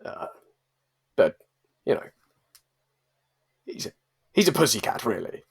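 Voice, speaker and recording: Male, acting as a smug star in a spoof interview, raspy, with very animated swings of pitch. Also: full character voice. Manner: boastful, drawn-out, self-assured. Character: vain male celebrity voice star, boastful. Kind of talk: improvised mockumentary interview.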